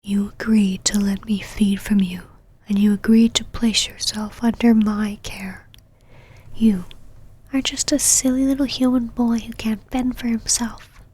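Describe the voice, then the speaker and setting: A woman's voice: fairly high pitch, fairly even intonation, a little mellow, slightly rough. Whispering, possessive vampire knight, acted by a woman. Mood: suspicious, possessive. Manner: whispered, slow condescending endearments and commands. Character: possessive, imperious female vampire knight, teasing and controlling. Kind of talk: ASMR roleplay monologue.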